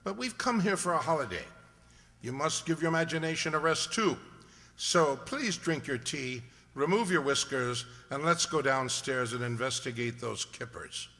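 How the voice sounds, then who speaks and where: A man acts an erudite English detective in a radio play. His voice is fairly high and crisply forceful, precise and drily teasing. It rings in a long, hall-like reverb. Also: animated, normal speed. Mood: wry. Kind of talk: old-time radio drama acting.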